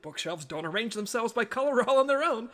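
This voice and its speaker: A woman's voice: a little high, animated, a little fast, lightly acted. An actress playing an earnest, fussy, literal-minded young woman agent in an audio drama. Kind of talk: scripted audio drama dialogue.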